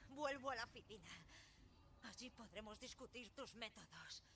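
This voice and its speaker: A woman's voice: fairly high pitch, lively intonation, moderate pace, a bit bright, a little hiss or hum. An actress playing a betrayed, defiant woman on a space station in a video game, bitter and accusing. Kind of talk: dubbed video game acting.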